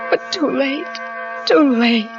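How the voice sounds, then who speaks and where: An actress playing a troubled young wife in a 1940s radio drama, narrating in a halting, confiding way. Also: female voice, sits high. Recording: dull old radio recording with music.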